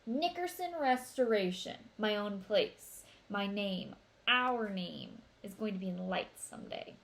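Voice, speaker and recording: A woman's voice: mid-range voice, animated, measured pace, gravelly, a bit bright. A woman voicing an upbeat, boastful teenage big brother in a storybook read-aloud, with playful, emphatic delivery. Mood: excited.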